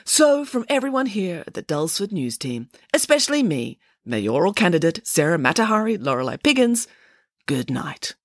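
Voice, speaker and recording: Woman voicing a bossy, theatrical lady pig, swooping pitch. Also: big pitch swings, no room sound, clean studio sound. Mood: brazen, dismissive. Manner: grandiose, brisk, dismissive, emphatic. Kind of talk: acted children's storybook dialogue.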